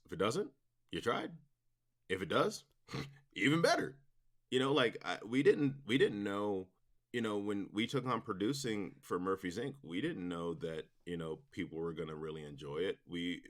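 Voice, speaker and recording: A man's voice: deep voice, a bit bright. A man talking casually and enthusiastically as an interview guest about his work, rambling in an informal way. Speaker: interview guest, audio drama producer.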